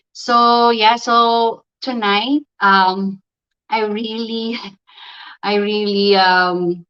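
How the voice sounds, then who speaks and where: Slow, hesitant woman hosting a livestream. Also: medium pitch, clear, resonant tone, dull audio. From Philippines. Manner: hesitant, many ums and restarts. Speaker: livestream host. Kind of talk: live online interview.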